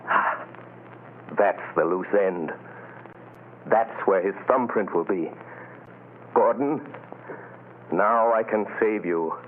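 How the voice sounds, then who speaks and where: Low, slow, commanding mind reader. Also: male voice. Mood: focused, urgent. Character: commanding mysterious male mind reader, intensely focused. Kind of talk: 1940s radio drama acting. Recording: muffled, hissy old radio broadcast.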